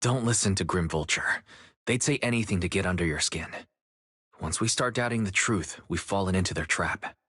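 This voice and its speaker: A man playing a calm, caring young confidant in a video game, gentle and earnest. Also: deep voice, moderate pace, husky, no echo, clean studio sound. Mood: gentle concern.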